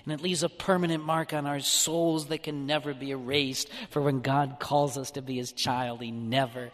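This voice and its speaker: A man preaching a homily in church, lively and expressive, sometimes slipping into a mock-whining voice to make a point. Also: mid-range voice, normal speed. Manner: animated, mock-whining when playing an upset child. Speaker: priest or deacon preaching a homily. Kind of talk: sermon. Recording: church hall reverb.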